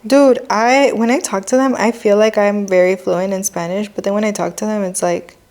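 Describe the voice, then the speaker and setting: A woman's voice: mid-range voice, steady tone, normal speed, a little mellow. A young woman from Mexico hosting a podcast, chatting casually and dropping Spanish phrases into her English. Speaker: podcast host and lash artist. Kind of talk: casual chat.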